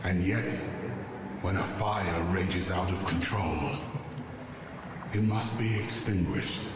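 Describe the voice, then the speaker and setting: A man playing a solemn, weary warrior in a video game, speaking gravely and formally. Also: sits low, fairly even intonation. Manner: grave, deliberate, formal storyteller speech. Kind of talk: dramatic video game cutscene acting. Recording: cavernous long reverb over noisy ambience.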